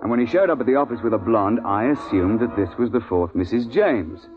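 A man's voice: medium pitch, lively intonation, brisk, over music, lightly acted. An actor playing a seasoned, worldly British big-game guide with a blunt, clipped old-boy manner in a 1950s radio drama. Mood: reminiscing. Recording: vintage 1950s radio, narrow band.

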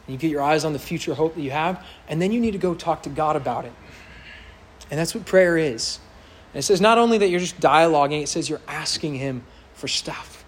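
A pastor preaching an earnest, emphatic sermon to his congregation in a church sanctuary, with a loud voice that builds to impassioned peaks. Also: on the high side, lively intonation, a little fast, slight background noise.

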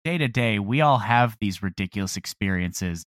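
A man's voice: fairly low pitch, animated, normal speed, no room sound, clean studio sound. A man chatting casually and a little hesitantly as a comedy podcast guest. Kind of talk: casual chat.

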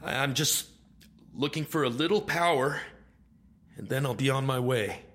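An actor playing a weary, wry veteran soldier in an audio drama, speaking in terse phrases. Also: male voice, medium pitch, moderate pace, a bit bright, lightly acted. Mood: guarded, polite. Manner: terse, muttering to himself.

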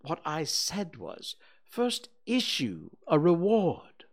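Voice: mid-range voice, huge pitch swings, slow pace, voice pushed up, heavily acted. A storybook reader voicing a pompous, long-winded owl who acts learned and declaims things. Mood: emphatic.

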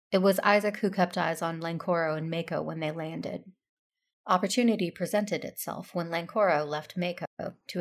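An actress playing a methodical, self-assured Jedi operative, narrating in first person in a matter-of-fact, controlled way with some creak. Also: female voice, fairly low pitch.

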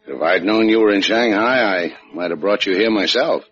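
A man's voice: on the low side, moderate pace, a bit bright. A man playing a tough, wry boat skipper, terse and sardonic, in a 1950s radio drama. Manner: terse, hard-boiled, sardonic. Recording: dull old radio recording with music.

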